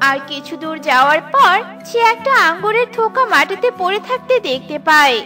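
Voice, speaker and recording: Bright, high-voiced woman narrator. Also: very animated, a little fast. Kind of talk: scripted storybook narration. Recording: with light background music.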